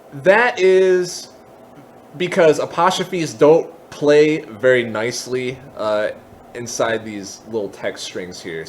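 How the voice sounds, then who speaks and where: A man giving a casual software tutorial, explaining each step in an informal, candid way as he thinks aloud. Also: medium pitch, slight background noise. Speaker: tutorial host.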